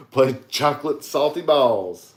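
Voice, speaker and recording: A man's voice: mid-range voice, animated, slow, echoey room. A man co-hosting a podcast in casual chat, laughing often and stumbling over restarts. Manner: laughs a lot, stammering restarts. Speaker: podcast co-host.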